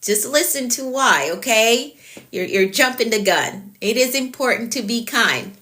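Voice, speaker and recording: A woman's voice: fairly high pitch, animated, measured pace, sharp tone. A woman with a Jamaican accent, a productivity coach giving a live-stream talk, repeating her key points and greeting viewers by name.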